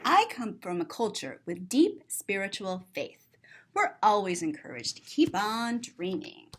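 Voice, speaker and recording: Woman reading as earnest, expressive little storybook girl. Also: mid-range voice, a little slow. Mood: bright, proud. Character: sensitive, big-hearted little girl narrating her story. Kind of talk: rhyming children's storybook.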